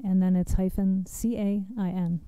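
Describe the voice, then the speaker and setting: A soft-spoken young woman giving nervous public testimony at a standing mic in a large hearing room. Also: mid-range voice, level tone, moderate pace, smooth, no room sound.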